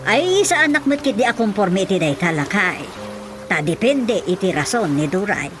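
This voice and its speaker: A woman's voice: medium pitch, sing-song, moderate pace, music in the background, lightly acted. A woman playing a nosy, sympathetic village woman who exclaims often, in an Ilocano radio comedy drama. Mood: gossipy. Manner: exclamatory, full of interjections.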